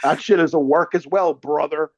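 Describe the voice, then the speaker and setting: A man in casual chat as an interview guest, telling stories in an animated, slangy way and swearing freely. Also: fairly high pitch, moderate pace, lo-fi sound, a crowd in the background. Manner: slangy, calls listener man and brother. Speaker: interview guest, actor and filmmaker.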